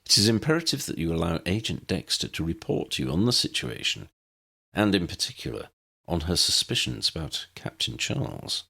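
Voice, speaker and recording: A man voicing a courteous, distinguished older gentleman, formal, dry and unflappable, in an audiobook story. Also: sits low, sing-song, fairly quick, gravelly, slightly rough, exaggerated voice. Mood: courteous, assured. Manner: formal, dry, self-assured.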